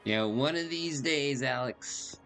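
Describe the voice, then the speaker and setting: A man's voice: mid-range voice, slow pace, gravelly, music in the background. A man hosting a game playthrough with casual, dry, laconic asides. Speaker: gaming video host.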